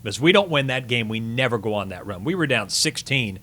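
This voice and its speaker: A man's voice: medium pitch, animated, fairly quick, pushed and bright. A middle-aged man speaking as an interview guest, telling sports stories casually with reflective, emphatic phrasing. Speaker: sports broadcaster, podcast guest.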